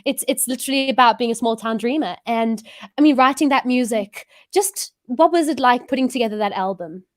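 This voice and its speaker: A woman with an English accent hosting an interview over a video call, chatty and quick to laugh at herself. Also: a little high, no echo, clean studio sound. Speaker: show host. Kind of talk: casual interview chat.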